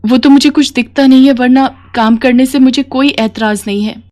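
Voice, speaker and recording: A woman's voice: sits high, fairly even intonation, normal speed, darkish tone, pitched above the performer's own voice, natural voice. A woman voicing a meek, anxious young blind bride in a moral story, pleading politely.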